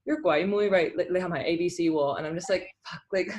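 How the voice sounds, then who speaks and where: A woman's voice: fairly low pitch, a little fast, a little mellow, a little hiss or hum. A woman who grew up in Hong Kong, chatting animatedly to an artist with lots of "like". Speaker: audience member. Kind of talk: casual comment.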